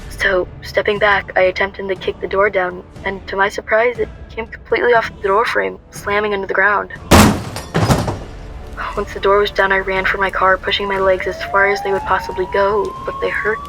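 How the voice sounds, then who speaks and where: A woman's voice: mid-range voice, animated, normal speed, over music, close to an everyday voice, rough recording. An actress playing a frightened young woman on the run, narrating her escape in the first person for a radio play. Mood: tense recounting.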